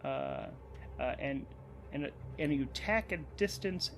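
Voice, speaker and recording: A man's voice: fairly high pitch, expressive, takes it slowly, gravelly, a bit bright, slight background noise. A man hosting and narrating a solo tabletop role-playing game, thinking aloud as he goes. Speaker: host, narrator and game master. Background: background music.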